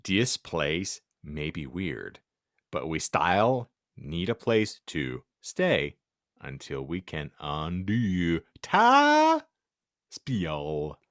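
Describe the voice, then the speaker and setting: A man hosting a podcast, giving a theatrical comic reading of fan fiction and halting over odd words. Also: deep voice, wildly swooping pitch, a bit bright, dry recording, clean studio sound. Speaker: podcast host and narrator.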